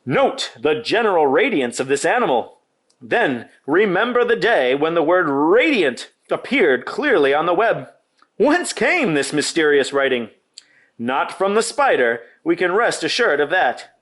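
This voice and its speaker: A man voicing a formal, orotund male announcer speaking over a county fair loudspeaker in a storybook reading. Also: fairly high pitch, big pitch swings, measured pace, pitched above the performer's own voice, full character voice. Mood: grandiloquent.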